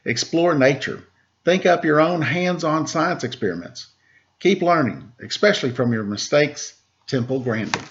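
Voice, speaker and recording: A man, a teacher, reading a rhyming picture book aloud to children in a lively way and asking them questions. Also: on the high side, normal speed, lo-fi sound. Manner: lively rhyming delivery with rising questions to listeners. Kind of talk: storybook read-aloud to children.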